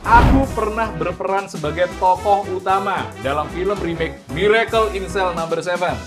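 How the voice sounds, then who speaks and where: An Indonesian man hosting a quiz game show in a lively presenter's style, over background music. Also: sits high, moderate pace.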